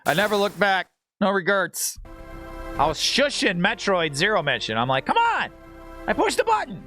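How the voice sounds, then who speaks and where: A man talks off the cuff to his live-stream audience as he plays. His voice sits fairly high and jumps around in pitch with animated inflection. He is informal and sweary, with music playing softly behind him. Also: normal speed. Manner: informal, slangy and profane, laughs easily. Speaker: game streamer. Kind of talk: casual live-stream chat while playing a game.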